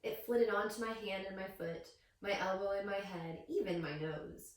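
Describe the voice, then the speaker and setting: A woman reading a picture book aloud to young children as a teacher, with expressive storytelling and questions to her listeners. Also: mid-range voice, normal speed. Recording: very echoey room, distant microphone.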